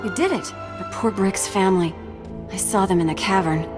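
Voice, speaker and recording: A woman's voice: medium pitch, moderate pace, music in the background, close to an everyday voice. A woman playing an earnest young woman in a video game, emotional and hurt. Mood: distressed. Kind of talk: dramatic video game acting.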